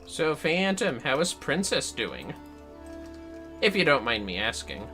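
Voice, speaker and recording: A man's voice: medium pitch, very animated, a little slow, bright, forceful tone, faint music behind. A man chatting casually and a bit ramblingly as he livestreams a game, swearing freely. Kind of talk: casual chat while gaming.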